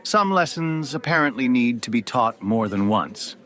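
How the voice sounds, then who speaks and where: A man's voice: mid-range voice, animated, moderate pace, fairly bright tone, close to an everyday voice. A man playing a cold, menacing rich man in his forties in an audio drama, smug and controlled with veiled threats. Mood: veiled menace.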